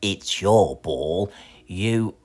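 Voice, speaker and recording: A man's voice: sits low, animated, drawn-out words, darkish tone, soft background music, heavily acted. A British man voicing a stingy, easily flustered storybook miser with a blunt, emphatic manner. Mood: curt, grumpy. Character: grumpy, stingy male miser, easily panicked.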